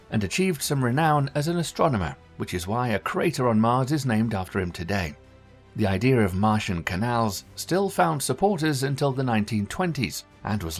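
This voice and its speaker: A man reading scripted documentary narration in a measured, formal way. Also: lowish voice.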